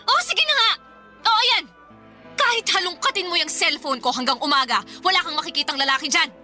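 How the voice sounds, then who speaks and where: A woman's voice: very high-pitched, animated, fairly quick, pushed and bright, faint music behind, exaggerated voice. A woman with a Filipino accent acting a defensive, emotional young girlfriend in a radio drama. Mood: indignant, defensive.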